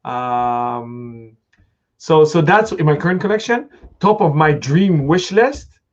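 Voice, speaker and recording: Middle aged guy hosting a chat, voice a bit high, thinks out loud, sound kinda muffled. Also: lively intonation, slow. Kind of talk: conversational live interview.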